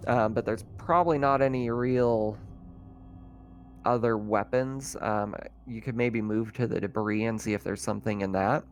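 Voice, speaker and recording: A man's voice: fairly low pitch, fairly even intonation, normal speed, a little hiss or hum. A man running a tabletop roleplaying game as game master, describing scenes in a casual, improvised, conversational way. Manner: casual, conversational, thinking out loud.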